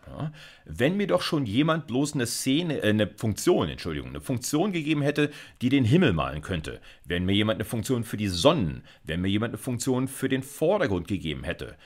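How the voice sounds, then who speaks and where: A man, explaining like a university lecturer in an informal, conversational way, often checking in with short tags. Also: mid-range voice. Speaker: university computer science lecturer.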